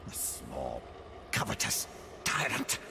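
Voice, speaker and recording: An actor playing a wry, learned old storyteller, telling myths in a theatrical cadence. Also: male voice, extremely low pitch, huge pitch swings, takes it slowly, exaggerated voice. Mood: bitter. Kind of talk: mythic storytelling in game dialogue. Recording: clear boat and water ambience noise.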